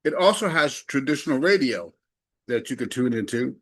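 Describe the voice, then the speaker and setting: A man with a mid-pitched voice walks through a product demonstration in a casual, folksy manner. He leans on key words and talks himself through each step. The recording is close and dry, with very little room sound. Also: very animated, moderate pace, a bit bright, husky, no room sound. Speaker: product reviewer. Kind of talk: casual hands-on gadget demonstration.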